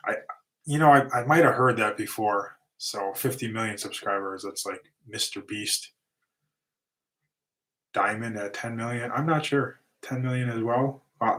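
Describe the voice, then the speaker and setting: Middle-aged male livestream host, lower pitch, relaxed rambling delivery, tiring voice. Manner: easygoing, rambling with restarts and fillers. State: voice getting tired and going. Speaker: livestream host, lawn and concrete business owner. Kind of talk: casual livestream Q&A, reading chat questions.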